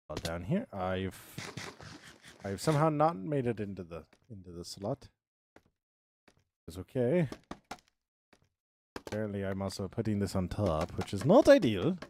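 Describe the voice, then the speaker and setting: A man in his thirties talking his way through a game build on a live stream, chatting to himself and his viewers. His pitch swings up and down a lot, but he takes his time, humming nonsense syllables and repeating words over and over. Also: warm tone, a little hiss or hum. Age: 30s.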